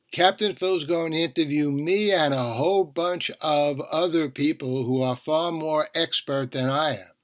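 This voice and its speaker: A man with a slightly high voice talks as a podcast host at a markedly slow pace. He is conversational and rambles a little, slipping in asides and correcting himself as he goes.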